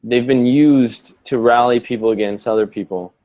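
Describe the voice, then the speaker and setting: A man's voice: mid-range voice, fairly even intonation, normal speed, darkish tone. Musing man from Canada, guest talk. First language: German. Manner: musing, repeats words as he gathers thoughts. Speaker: podcast guest. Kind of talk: conversational podcast interview.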